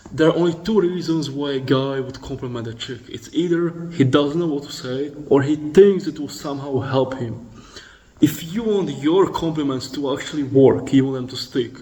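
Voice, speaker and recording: A man's voice: a little high, a little mellow, rough recording. A man giving blunt, profane dating instruction as a voice-over, lecturing and addressing the listener directly. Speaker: dating coach.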